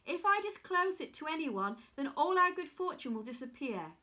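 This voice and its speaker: A woman's voice: sits high, big pitch swings, moderate pace, sharp tone, heavily acted. A woman voicing a poor fisherman in a fairy tale read aloud. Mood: earnest. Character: poor fisherman, worried and secretive.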